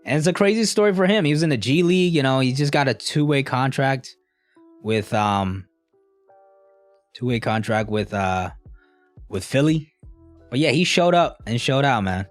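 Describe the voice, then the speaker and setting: A man's voice: medium pitch, expressive, normal speed, long pauses, no echo, faint music behind. A young man casually chatting with a live stream audience in a laid-back, slangy, rambling way. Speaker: streamer answering live chat.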